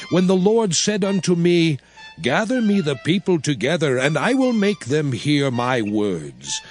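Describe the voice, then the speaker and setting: Slow, solemn commanding biblical prophet. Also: male voice, a little high. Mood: grave, commanding. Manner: solemn, oratorical, measured, emphatic. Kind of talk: dramatized scripture reading. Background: music.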